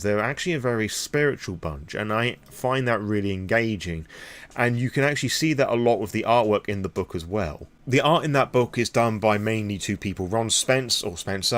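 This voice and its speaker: A British man giving an impromptu, opinionated vlog monologue in a rambling, frank manner. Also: fairly low pitch. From Britain. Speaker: vlogger on tabletop roleplaying lore.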